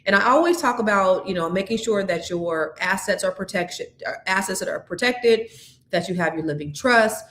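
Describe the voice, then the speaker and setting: A woman's voice: medium pitch, normal speed, echoey room. A woman in her fifties hosting a live money podcast, chatting casually and talking straight to her audience with slang. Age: 50s. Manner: lively, slangy, addresses audience directly. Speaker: podcast host. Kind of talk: live stream casual chat.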